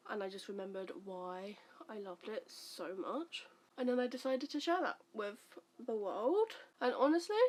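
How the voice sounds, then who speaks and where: A woman in her twenties, stuffy and nasal from the flu, rambling casually as she does her makeup and answers questions for her channel. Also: medium pitch. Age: 20s. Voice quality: nasal, congested. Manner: rambling, self-deprecating, chaotic. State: recovering from flu. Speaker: vlogger. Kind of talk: chatty get-ready-with-me vlog. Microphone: camera facing her while doing makeup at a mirror.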